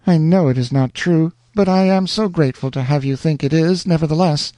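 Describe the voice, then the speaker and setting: A woman's voice: low-pitched, brisk, mellow, no room sound, pitched above the performer's own voice, natural voice. A man voicing a young woman in love, gushing with breathless devotion in a storybook reading. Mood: rapturous.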